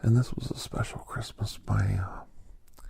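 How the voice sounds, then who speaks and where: A man voicing a kindly, reflective older doctor in soft, half-whispered ASMR storytelling, with breathy, halting short phrases. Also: lowish voice, steady tone, noisy background. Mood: hushed, reflective. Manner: unhurried, pausing between short phrases. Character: older doctor recounting his gentle alien encounters, reflective, kindly.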